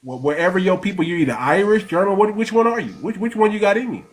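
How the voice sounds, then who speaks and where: High-voiced man lecturing insistently. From United States. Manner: insistent, lecturing, repeats himself rapidly. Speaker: host. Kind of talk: heated live call-in debate.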